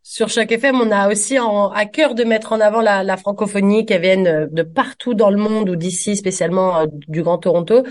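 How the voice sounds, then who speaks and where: Woman radio interviewer, friendly and chatty, average pitch. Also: a little fast, few pauses, a little mellow. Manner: friendly, conversational questions. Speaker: radio host.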